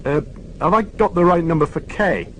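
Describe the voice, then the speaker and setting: An earnest, put-upon sergeant speaks, voiced by a male narrator. He is plain-spoken and easily flustered, and his voice sits well above the narration and louder. It is a storybook reading. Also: on the high side, animated, normal speed, a little hiss or hum, voice pushed up.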